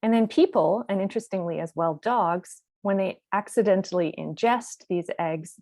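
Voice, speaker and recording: A woman researcher giving a measured, explanatory webinar talk over a video call. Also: fairly low pitch, darkish tone, no echo. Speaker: researcher presenting. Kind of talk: webinar presentation.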